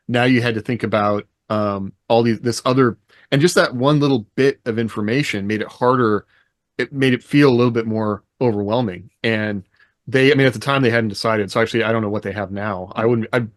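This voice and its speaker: A male podcast co-host with a voice a little on the low side. He talks conversationally, recalling and explaining as he goes. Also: no echo, clean studio sound. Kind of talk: casual conversational explaining and recollection.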